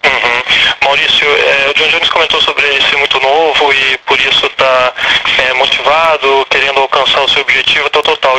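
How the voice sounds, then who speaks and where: A male interpreter relaying questions and answers on a press phone call in a plain, steady way. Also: mid-range voice. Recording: noisy phone line.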